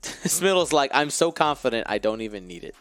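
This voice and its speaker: A man's voice: a little high, a bit bright. A man co-hosting a live stream of a tabletop miniatures game, commentating in a chatty way and bantering playfully with viewers. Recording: close dry mic with faint music.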